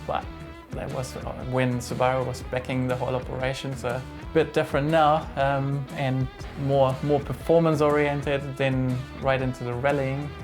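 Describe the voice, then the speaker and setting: A man's voice: medium pitch, normal speed, hardly any pauses, music in the background. A middle-aged man from Germany with a German accent, talking casually and hesitantly about his workshop. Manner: relaxed, hesitant, many fillers and restarts. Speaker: workshop owner. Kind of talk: casual interview.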